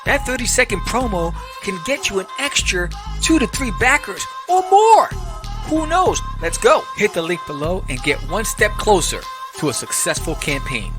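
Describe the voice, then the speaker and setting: A woman reading a scripted promo as an upbeat sales pitch. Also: big pitch swings, normal speed. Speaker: ad announcer. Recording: loud backing music.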